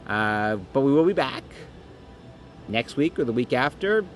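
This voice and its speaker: A middle-aged man co-hosting a podcast, chatting casually and rambling with restarts and jokes, his voice going hoarse. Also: mid-range voice, expressive, unhurried, faint music behind. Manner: rambling, self-interrupting and joking. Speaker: podcast co-host. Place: busy conference venue.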